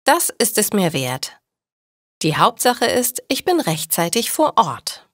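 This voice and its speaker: A woman voicing a busy, kindly young midwife in a learners' audio storybook, earnest and clearly enunciated. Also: medium pitch, sing-song, takes it slowly, fairly bright tone, no room sound, clean studio sound, full character voice. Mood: determined.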